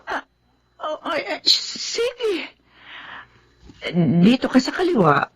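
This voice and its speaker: An actress playing a fussy, flustered middle-aged wife in a radio drama, husky and given to exclamations. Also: female voice, high voice, takes it slowly, heavily acted. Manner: animated, exclaiming, questioning.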